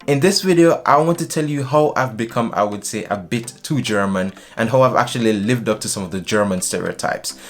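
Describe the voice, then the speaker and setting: A young man from Jamaica chatting casually to camera in a small indoor room, animated and gesturing as he talks. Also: mid-range voice, fairly quick, few pauses. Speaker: vlogger.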